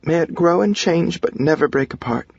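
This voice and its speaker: Guy doing a heartfelt mom voice for an audiobook, earnest, middle aged woman, pitched way up. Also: animated, normal speed, darkish tone, slightly rough, no echo, muffled recording, exaggerated voice. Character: heartfelt middle-aged mother giving a toast.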